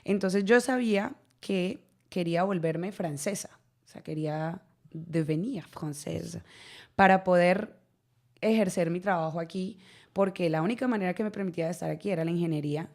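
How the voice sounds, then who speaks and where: A woman's voice: lowish voice, normal speed, darkish tone. A Colombian woman in her thirties with a Colombian accent, an actress telling her story in a radio interview, laughing often and repeating words as she goes. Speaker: actress guest. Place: radio studio.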